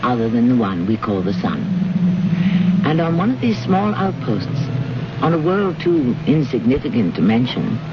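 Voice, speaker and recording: A man hosting and narrating an old-time radio mystery, with formal, deliberate, dramatic scripted delivery. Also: on the high side. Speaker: host and narrator. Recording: noisy, muffled old radio recording.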